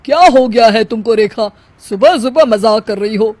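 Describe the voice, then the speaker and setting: A woman's voice: on the high side, moderate pace, a little mellow, no echo, pitched below the performer's own voice, close to an everyday voice. A woman voicing a busy middle-aged husband, brusque and exclamatory, easily irritated or worried, in a family story.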